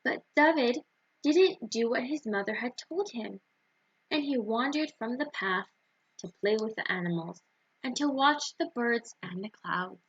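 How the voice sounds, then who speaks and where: A woman reads a storybook to young children at an unhurried pace. Her voice sits a little high with a soft husk, and she tells it expressively. The audio is somewhat dull and lo-fi. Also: husky. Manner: deliberate, expressive read-aloud for young children. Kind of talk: children's storybook read aloud.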